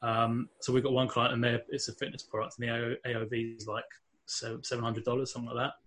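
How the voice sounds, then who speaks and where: A man's voice: medium pitch, little pitch movement, fast talker, fairly bright tone. A man with a London accent, a guest on a podcast, talking casually with many fillers and restarts. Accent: London English. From England. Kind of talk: casual interview talk.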